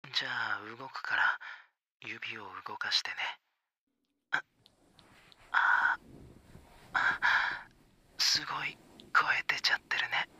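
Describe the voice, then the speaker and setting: A tender, teasing young lover, played by a man, murmurs close in an intimate audio drama. His whisper is airy and breathy, yet the tone stays sharply bright, and he takes his time over every phrase. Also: mid-range voice, slow pace, long pauses, dry recording, exaggerated voice. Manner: soft breathy whispering, gentle and coaxing. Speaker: voice actor in an adult audio drama. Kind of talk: whispered intimate ASMR roleplay.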